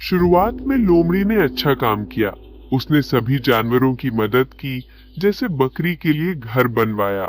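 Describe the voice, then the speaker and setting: A man narrating a scripted animated animal story as a storyteller. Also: medium pitch, a little slow.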